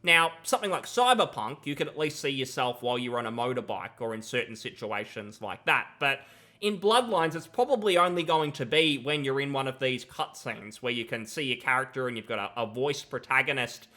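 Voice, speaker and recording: Bright-voiced young man giving critical commentary. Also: medium pitch, animated, fairly quick. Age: young adult. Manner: disapproving, emphatic. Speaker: gaming commentator. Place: small room at home. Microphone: close desk microphone, headset on.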